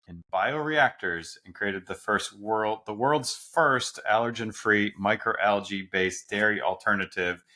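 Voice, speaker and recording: A man's voice: lowish voice, sharp tone. A man hosting a podcast interview, enthusiastic and upbeat, asking questions in a casual way. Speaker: podcast host. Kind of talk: scripted intro then casual interview.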